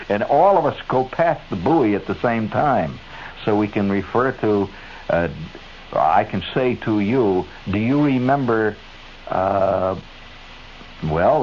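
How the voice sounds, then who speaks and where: A man's voice: low voice, lo-fi sound. A middle-aged American man hosting a radio show, telling a wry, digressive monologue and tossing asides to the studio crew. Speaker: radio host. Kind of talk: rambling late-night radio monologue. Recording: hissy 1970s AM radio broadcast.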